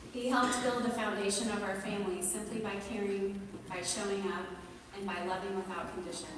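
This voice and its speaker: A woman reading a prepared memorial tribute as a family member, in measured, listed phrases, recorded in a large indoor space. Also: mid-range voice. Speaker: family member giving a eulogy. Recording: echoey hall, distant mic, noisy.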